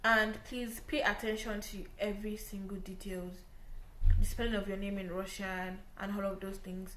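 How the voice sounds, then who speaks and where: A woman's voice: mid-range voice, flat delivery, moderate pace, a bit bright, slight background noise. A young woman from Nigeria with a Nigerian English accent, chatting casually to camera as she explains things step by step. She repeats her points and often checks in with "right?". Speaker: vlogger giving visa advice. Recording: roomy echo.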